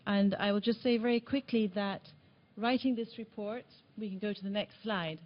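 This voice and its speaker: A woman from Tanzania presenting a report at a meeting, speaking earnestly with some halting restarts, in a meeting room. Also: mid-range voice, fairly even intonation, lo-fi sound. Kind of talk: conference presentation.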